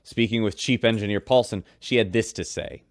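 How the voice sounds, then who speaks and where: A man plays a polished male radio host for a sci-fi audio adventure. His voice is fairly low and recorded close and dry, delivered like news presenting. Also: brisk, no room sound, natural voice. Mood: grave reporting.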